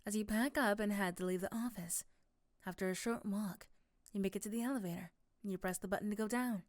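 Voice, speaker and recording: Female narrator for ASMR roleplay. Scripted second-person storytelling, medium pitch, very dry sound. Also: brisk, dry recording.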